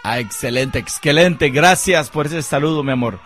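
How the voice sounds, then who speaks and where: A man's voice: on the high side, moderate pace. Sing-song man hosting a radio show. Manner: effusive, sing-song delivery with shoutouts. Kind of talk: radio interview. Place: radio studio.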